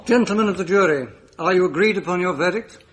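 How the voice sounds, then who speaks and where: A formal court clerk, played by a man, calls out in a high, declamatory voice. The delivery is rapid and ceremonial, in radio drama style. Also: close to an everyday voice. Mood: formal, solemn.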